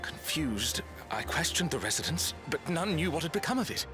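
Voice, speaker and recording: An actor playing a polite, solemn usher with formal, measured wording in a video game cutscene. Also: male voice, a little high, big pitch swings, over music. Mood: puzzled, careful.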